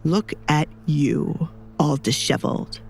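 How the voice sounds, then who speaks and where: A woman playing a cold, commanding duke in an audio drama, speaking slowly and deliberately with calm menace. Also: deep voice, vocal fry, soft background music, pitched below the performer's own voice, heavily acted. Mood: mocking. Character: cold, menacing young duke, calmly threatening man.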